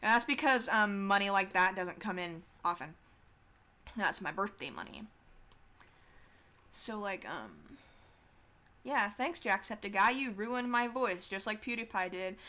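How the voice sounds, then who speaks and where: A teenage girl rambles through a drawing session at her desk, swearing casually and poking fun at herself as she goes. Her voice is bright and clean, with a crisp, smooth tone through a desktop mic. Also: medium pitch, lively intonation, a little slow, long pauses. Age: teens. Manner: chatty, swears casually, self-deprecating asides. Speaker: artist streaming a drawing session.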